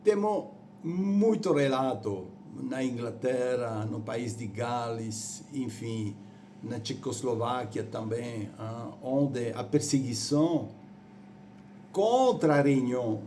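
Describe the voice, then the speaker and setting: Slow-speaking Italian man preaching. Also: medium pitch, lively intonation, background noise. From Rome, Italy. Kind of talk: sermon on church history.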